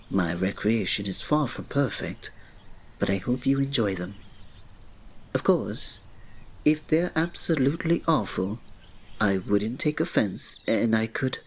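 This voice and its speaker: A man playing a reserved, devoted royal servant in an ASMR romance roleplay, speaking softly, politely and formally with a breathy voice. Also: lowish voice, exaggerated voice. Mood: gentle, polite. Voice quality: soft, breathy. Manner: soft, polite, formal, restrained, often dropping to a breathy murmur.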